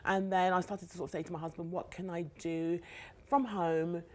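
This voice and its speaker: A middle-aged woman from South London chats as a guest author, with a London accent. Her voice is a touch low for a woman. She speaks with enthusiasm, doubling up words to stress her points. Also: fairly even intonation, normal speed, slight background noise. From South London, England. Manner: enthusiastic, repeats words for emphasis. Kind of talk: casual interview chat.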